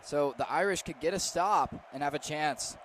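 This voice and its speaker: A man's voice: on the high side, normal speed. A man giving live football analysis on radio, conversational and free with his opinions. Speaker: color commentator. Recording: faint stadium noise behind.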